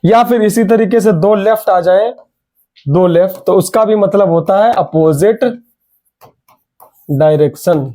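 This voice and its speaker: A man's voice: sits high, measured pace, long pauses, warm tone. A young man with a sore throat teaching an online exam reasoning class, urging students on and repeating key words.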